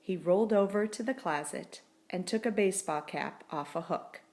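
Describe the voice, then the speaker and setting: A woman's voice: lowish voice, normal speed, darkish tone. A woman narrating a children's story from a script as an audiobook reader.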